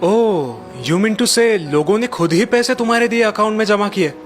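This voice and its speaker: An actor playing a concerned young husband and dutiful son in a children's cartoon story. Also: male voice, sits high, normal speed, faint music behind, natural voice. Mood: impressed.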